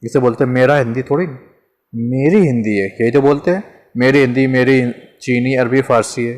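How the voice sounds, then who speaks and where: A man's voice: medium pitch, fairly even intonation, normal speed, darkish tone. Man repeating examples in a lesson. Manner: conversational, repeats examples, asks and answers himself. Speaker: teacher. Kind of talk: informal grammar lesson.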